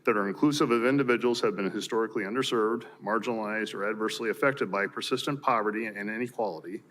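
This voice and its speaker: A man's voice: fairly low pitch, fast talker, a bit bright. A man with an American accent, a mayor formally reading proclamations at a council meeting. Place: city council chamber.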